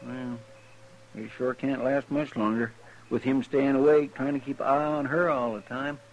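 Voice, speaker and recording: A man's voice: medium pitch, fairly even intonation, normal speed, lo-fi sound, lightly acted. A slightly noisy old radio western carries a man acting a simple, deferential sidekick. His voice sits mid-range. He talks in plain, folksy questions and remarks. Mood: puzzled, concerned. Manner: folksy, questioning rural speech. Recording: noisy vintage 1950s radio recording.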